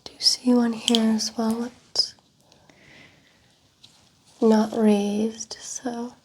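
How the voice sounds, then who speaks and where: A woman speaking softly in an ASMR medical exam roleplay, giving gentle clinical instructions as a doctor. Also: a little high, flat delivery, drawn-out words, a little hiss or hum. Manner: soft, whispery, gently clinical instructions and remarks. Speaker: ASMR performer playing a doctor.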